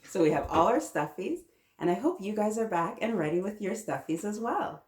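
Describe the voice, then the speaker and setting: A woman's voice: mid-range voice, lively intonation, normal speed, a little mellow. A woman in her thirties hosting a children's story time, reading aloud and encouraging the children with many questions. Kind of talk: read-aloud storytelling with children, chatting along. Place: small children's playroom, indoors. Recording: roomy echo, mic at a little distance.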